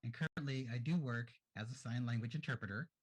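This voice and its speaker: A man with a husky voice speaks on a discussion panel. He introduces himself in a friendly, straightforward way. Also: mid-range voice, quick pace, low-quality recording.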